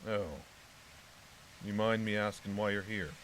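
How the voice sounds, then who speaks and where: A man's voice: on the low side, a little slow, a bit bright, rough recording. A man playing a laconic, wary keeper of a haunted house in an audio drama, with a folksy manner. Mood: cautious. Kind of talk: naturalistic audio drama acting. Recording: clearly hissy recording.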